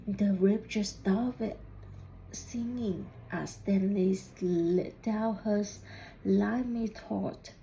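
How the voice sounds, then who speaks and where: A woman reading a children's storybook aloud in deliberate, halting phrases, putting questions to young listeners. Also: medium pitch, vocal fry, warm tone, slight background noise, low-quality recording. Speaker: student reading a picture book aloud.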